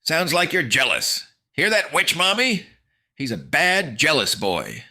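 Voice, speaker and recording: A man voicing a cowardly teenage boy who yells in a bratty, frantic way. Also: low-pitched, sing-song, normal speed, husky, pitched above the performer's own voice, exaggerated voice. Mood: taunting. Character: panicky cowardly teenage boy, whiny and shrill.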